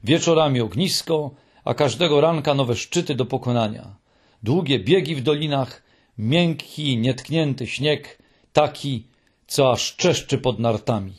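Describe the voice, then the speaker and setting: A man's voice: mid-range voice, unhurried, breathy edge. A man voicing a cheerful, friendly, clueless male troll creature in an audiobook, with an eager, dreamy way of talking. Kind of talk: audiobook character voice.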